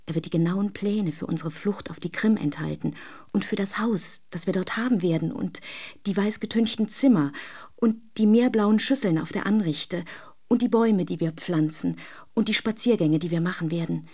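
A woman's voice: lowish voice, moderate pace, mellow. A woman acting an intimate radio drama monologue as a dreamy, self-deluding wife who longs for her lover, confiding in careful words. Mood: dreamy, eager.

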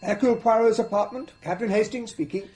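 An actor playing an affable, straightforward gentleman, a detective's loyal companion, with a husky voice and English accent in a radio drama. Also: high-pitched, fairly quick, slight background noise, natural voice. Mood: matter-of-fact. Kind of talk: radio drama acting and narration.

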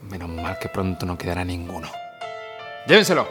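A man's voice: low-pitched, very animated, fairly quick, over music. A man playing a contemptuous, menacing military officer in a historical audio drama, commanding and scornful. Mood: barking orders.

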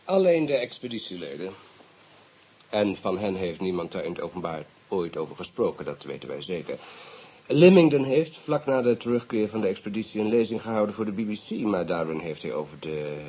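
A man acting a courteous, guarded British police investigator in a radio play, speaking in a measured, matter-of-fact way with polite English phrases. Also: sits low, sing-song, dark tone, slightly rough, heavily acted, low-quality recording. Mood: measured, informing. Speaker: police officer. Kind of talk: radio drama dialogue.

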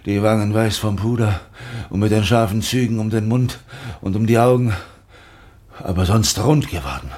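An actor playing a world-weary, brooding sea captain in a literary radio play, halting and reflective. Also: male voice, on the low side, darkish tone, natural voice. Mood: bitter, wistful. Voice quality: husky, gravelly.

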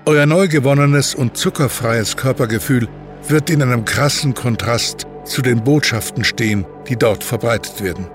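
A male narrator reads a scripted documentary-style piece. His voice sits at a medium pitch, and music plays underneath.

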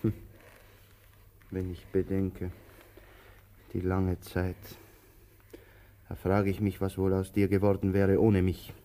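An actor in a German radio play playing a talkative, brooding tramp, pompous yet fond, with theatrical, halting musing. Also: male voice, low voice, completely flat delivery, warm tone. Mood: musing, mocking. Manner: theatrical, musing, mock-formal, halting for effect.

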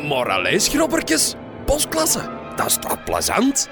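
A brisk, gruff Flemish village man in a horror comedy radio play. The delivery is animated, with loud music underneath. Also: mid-range voice, exaggerated voice. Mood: coaxing. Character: brisk, gruff adult villager named Jan Smit, male. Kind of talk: comic horror radio acting.

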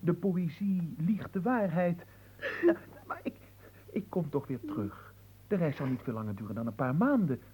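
An actor playing a lovelorn young manservant in a radio play, florid, evasive and poetic, stammering in melodramatic sorrow. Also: male voice, a little high, animated, moderate pace, dark tone, a little hiss or hum, close to an everyday voice, rough recording. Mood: soothing, coaxing.

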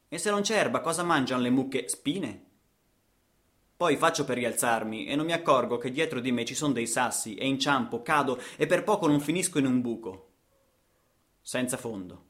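Male, actor as impassioned traveller-storyteller for radio drama; mid-pitched, theatrical delivery with insistent, swinging dynamics. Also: natural voice. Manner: theatrical, insistent repetitions, swings from hushed to shouting. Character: impassioned male traveller recounting a trip across the savanna.

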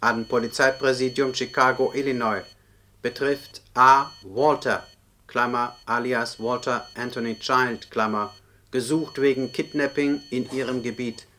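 An actor playing a dry, formal official who reads out police records in a radio play, punctuation included. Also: male voice, medium pitch, a little slow. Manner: monotone, formal, spells out punctuation. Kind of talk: German radio play acting, document read-out. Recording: older radio drama, noticeable background noise.

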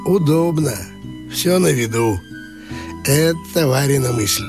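Неторопливого благодушного провинциала с высоким скрипучим голосом. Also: male voice, on the high side, expressive, music in the background. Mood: evasive, placid. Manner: unhurried, folksy, evasive, drawling. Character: easygoing, idle provincial brother, fond of talk of soul. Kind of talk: Russian radio play acting.

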